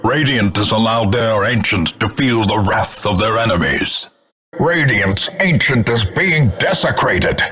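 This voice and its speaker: An actor playing a grim, contemptuous older narrator of doom, announcing a video game with grandiose, theatrical gothic delivery. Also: male voice, mid-range voice, a bit bright. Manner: grandiose, ornate, measured dramatic delivery.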